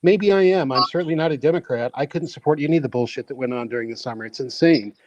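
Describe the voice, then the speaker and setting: A man's voice: mid-range voice, fast. A man talking bluntly and opinionatedly, with some swearing, in a casual group voice chat. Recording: dull lo-fi line with faint noise.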